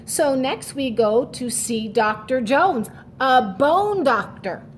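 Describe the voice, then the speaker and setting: A woman's voice: high-pitched, lively intonation, slow, a little hiss or hum. A woman reading a children's storybook aloud like a teacher, adding short explanatory asides. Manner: instructive, checks understanding with short asides.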